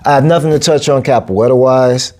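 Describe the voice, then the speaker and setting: A man's voice: a little fast, mellow. Guy in his 40s, coach rambling on his podcast, punchy, repeats himself a lot, voice jumps up when he makes a point. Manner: emphatic, repeats phrases, big pitch jumps for emphasis. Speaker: podcast host, boxing and capoeira coach. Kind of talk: casual solo podcast monologue.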